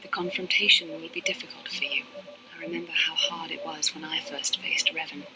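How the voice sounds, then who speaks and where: A woman's voice: sits low, little pitch movement, a little fast, noisy background, close to an everyday voice. A woman playing a proud, earnest young Jedi with guarded feelings in a video game, speaking in a formal, lecturing way. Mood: grave, measured. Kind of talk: dramatic video game dialogue acting.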